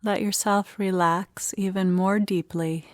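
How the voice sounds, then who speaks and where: A woman leading a guided meditation, speaking in short, gentle phrases with unhurried pauses. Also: medium pitch, fairly even intonation, dry recording, clean studio sound.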